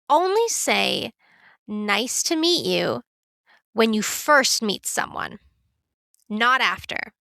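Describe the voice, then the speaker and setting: A woman teaching a beginner English lesson in a careful, clear, scripted way. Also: a little high, pushed and bright, no echo, clean studio sound. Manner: clear, careful, instructive delivery.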